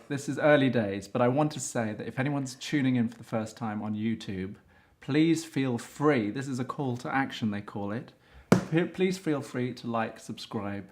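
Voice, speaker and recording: A man hosting a casual interview, chatty and quick to jump in with comments and laughs. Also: medium pitch. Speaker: interviewer and show host.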